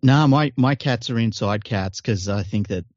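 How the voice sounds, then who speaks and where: A man from Western Sydney with an Australian accent, co-hosting a casual sports podcast, blunt and quick to swear and laugh at his own jokes. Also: on the low side, a little mellow, no room sound, muffled recording. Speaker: podcast co-host.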